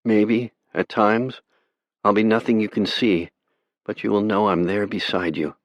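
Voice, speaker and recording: A man's voice: lowish voice, steady tone, a little slow, no room sound, voice pushed up, close to an everyday voice. A man voicing a frightened, brave teenage girl in an audiobook, pleading and tender. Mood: tender farewell. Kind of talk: audiobook character voice.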